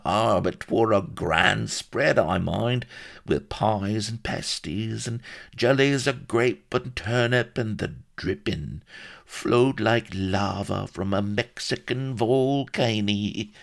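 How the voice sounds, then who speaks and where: A man's voice: lowish voice, slow pace, vocal fry, voice pushed up. A man voicing a shifty, whimpering old rustic castaway who rambles in thick West Country dialect. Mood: gleeful reminiscing. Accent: English West Country. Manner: rambling, wheedling, dialect-heavy.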